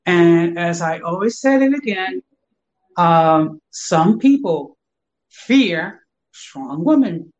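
An older man, a guest on a livestream, speaks in an earnest, measured and slightly formal way. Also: high voice, animated, lo-fi sound. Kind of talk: casual chat, earnest thanks.

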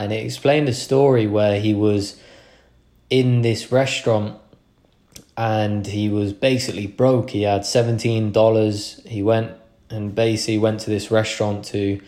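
A man's voice: lowish voice, warm tone. A young man hosting a podcast, talking through a casual motivational monologue in an earnest, rambling way with frequent restarts. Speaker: podcast host.

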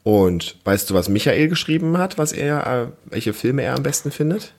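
A man's voice: medium pitch, lively intonation, normal speed, a little mellow. A man co-hosting a casual family podcast, chatting as a parent does with a child.

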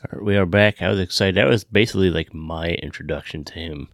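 Very deep-voiced man hosting a podcast. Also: quick pace, no room sound, studio quality. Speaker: podcast host. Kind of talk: casual show chat and announcements.

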